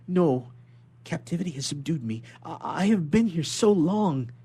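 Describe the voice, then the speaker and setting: A man performs an impassioned young prisoner from a classic adventure novel. The voice is pushed high and loud, with sweeping pitch and a slightly husky grain. He implores with formal courtesy and desperate earnestness. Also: animated, moderate pace, full character voice. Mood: humble, fervent. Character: desperate, pleading young sailor held prisoner in a dungeon. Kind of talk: dramatic audiobook character reading.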